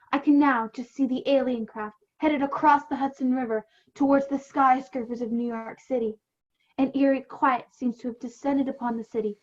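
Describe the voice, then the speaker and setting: Radio play role: solemn newswoman played by a teenage woman, high voice, dramatic, dull video-call sound. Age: late teens. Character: solemn, dramatic female radio news reporter. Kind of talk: 1930s radio news acting. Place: home room on a video call.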